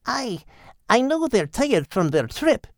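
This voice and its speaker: Friendly little seagull for a children's audiobook, very high, animated, caring. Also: male voice, slow pace, dry recording, studio quality, exaggerated voice. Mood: hushed, considerate. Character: friendly, caring Scottish seagull, small storybook bird.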